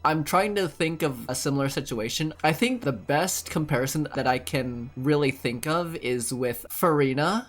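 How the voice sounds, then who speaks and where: A man's voice: medium pitch, fairly bright tone. A young man talks through a video game he is playing. His voice is mid-range, and he thinks aloud in casual, slangy language. Music runs underneath the commentary. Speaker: gaming YouTuber playing and commenting.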